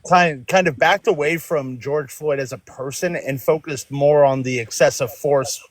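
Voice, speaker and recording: Measured man in his forties. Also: on the high side. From Florida. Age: 40s. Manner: measured, reasoned, stalling a little. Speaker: caller.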